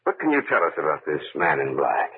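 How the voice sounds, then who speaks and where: Casting: bold skeptic, low voice with lively swings, vintage radio thriller. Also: male voice, brisk, breathy edge, full character voice. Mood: bold, wary. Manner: assertive, questioning. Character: bold, skeptical man pressing into a sinister mystery. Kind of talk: 1940s radio drama acting. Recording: very noisy, narrow-band old radio recording.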